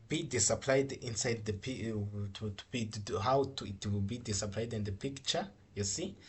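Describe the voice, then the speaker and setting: A man with a middle-pitched, somewhat rough voice teaches software informally. He speaks slowly as a non-native English speaker, with halting phrases and frequent repetition as he walks through each step. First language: not English. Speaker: coding tutorial instructor. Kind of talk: informal screen-recorded software tutorial.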